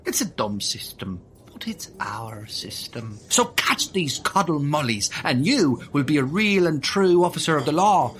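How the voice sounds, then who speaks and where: A woman's voice: low voice, sing-song, measured pace, hardly any pauses. A woman as a blustering stage-Irish sergeant. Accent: put-on stage Irish. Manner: blustering, dismissive, full of colorful oaths. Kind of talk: comedic audio drama acting.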